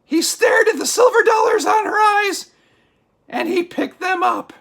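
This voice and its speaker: An extremely high, creaky spooky puppet storyteller. The character reads scary bedtime tales theatrically, excitable and exclamatory, stretching words for drama and easily sidetracked. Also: female voice, shouting, exaggerated voice. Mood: spooky, dramatic. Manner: exclamatory, drawn-out dramatic delivery.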